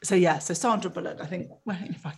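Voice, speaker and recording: A woman's voice: lowish voice, fast, vocal fry, husky. A woman in her fifties, raised in England after early childhood in Egypt, chatting as a guest in a casual interview, often restarting her sentences. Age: 50s.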